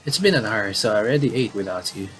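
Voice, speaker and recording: Man reading as a low, unbothered brother. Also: lively intonation, quick pace, fairly bright tone, soft background music. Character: unbothered, matter-of-fact brother in a horror game. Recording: clearly noisy home recording.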